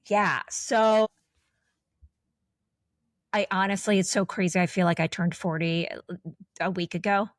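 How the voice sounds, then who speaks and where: A woman's voice: mid-range voice, steady tone, slow, pauses often, no echo, clean studio sound. A woman in her forties co-hosting a podcast, talking candidly in a rambling, casual way with many restarts. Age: 40s. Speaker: podcast co-host.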